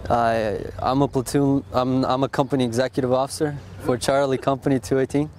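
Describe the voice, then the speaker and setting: Young army guy, talks plain and steady, flat monotone, faint noise outside. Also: mid-range voice, brisk. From born in Russia, raised in Texas. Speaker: army officer. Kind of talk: casual interview answers.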